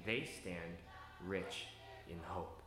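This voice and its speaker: Earnest male narrator over music. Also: low voice. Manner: measured, earnest storytelling. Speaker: video narrator. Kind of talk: scripted documentary narration. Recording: roomy with music behind. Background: instrumental music.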